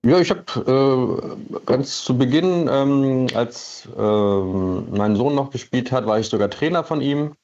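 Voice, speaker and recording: A man's voice: medium pitch, animated, a little slow, hardly any pauses, darkish tone. A middle-aged man from northern Germany chatting casually as a caller over the telephone, hesitant and thoughtful. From northern Germany, near Hanover. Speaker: caller, amateur football referee. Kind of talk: casual chat. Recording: muffled band-limited telephone line.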